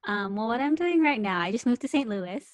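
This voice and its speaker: A woman's voice: a little high, lively intonation, brisk, dry recording. A woman in her twenties with an American accent, chatting casually in an upbeat way. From US, San Francisco Bay Area. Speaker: podcast guest, podcaster. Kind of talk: casual chat.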